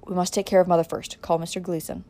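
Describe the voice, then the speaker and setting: A woman's voice: on the low side, little pitch movement, fast, mellow, no room sound, close to an everyday voice. Haughty, stern patriarch for a storybook, older man played by a woman, stiff and commanding. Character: proud, stern older father, domineering and angry.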